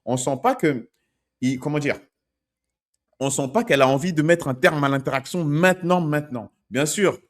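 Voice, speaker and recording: A man's voice: fairly high pitch, animated, moderate pace. A man working as a dating coach, talking through video clips in a conversational way and often checking in with "ok?". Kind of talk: commenting on street-approach video clips.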